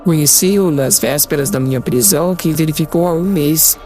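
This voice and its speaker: Male, higher register, actor as wretched young captive in audiobook drama, meek and fearful, music clearly underneath. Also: moderate pace, warm tone, lightly acted. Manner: meek, mournful, pleading. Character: humble, miserable young male prisoner, fearful and pleading. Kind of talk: dramatized audiobook acting in formal language. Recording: music behind.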